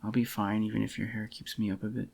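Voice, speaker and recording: A possessive yandere boyfriend, acted by a man, murmurs tenderly in a soft-spoken ASMR roleplay. His voice is on the low side, husky and a little groggy, with a crackly fry. He sounds intimate and doting, as if soothing his sweetheart. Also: flat delivery, fast talker.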